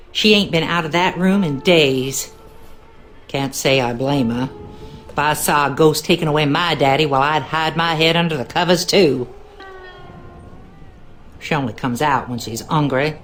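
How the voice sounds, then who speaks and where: Low-voiced housekeeper in a game, folksy n gossipy, music under it. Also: female voice, measured pace, long pauses, fairly bright tone. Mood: confiding. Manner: folksy, confiding. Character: folksy, gossipy working-class cleaning woman. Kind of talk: game character monologue.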